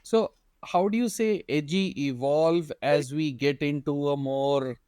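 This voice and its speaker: A male podcast host with a fairly high, smooth and resonant voice asks long, thoughtful questions. The sound is close and dry, with no echo from the room. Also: a little slow, dark tone. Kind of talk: podcast interview.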